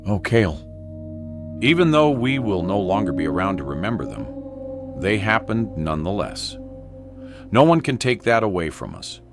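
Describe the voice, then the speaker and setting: An actor as deep-voiced, wry apocalypse radio host. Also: sing-song, normal speed, long pauses, music in the background. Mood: tender farewell. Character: weary, tender male radio host during the apocalypse. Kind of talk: scripted radio drama broadcast.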